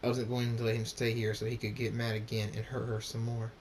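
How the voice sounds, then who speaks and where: Man as winged warrior stallion, grim, commanding, vengeful, mid pitch, storybook reading, slight rumbling noise. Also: monotone, brisk, darkish tone, natural voice. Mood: stern. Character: vengeful, stern winged warrior pony father. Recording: noisy with low rumble.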